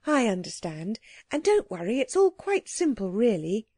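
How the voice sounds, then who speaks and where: A woman's voice: on the high side, very animated, normal speed, darkish tone, breathy edge, no room sound, clean studio sound, pitched above the performer's own voice. A woman voicing an earnest, polite young girl in a children's storybook. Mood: eager, reassuring.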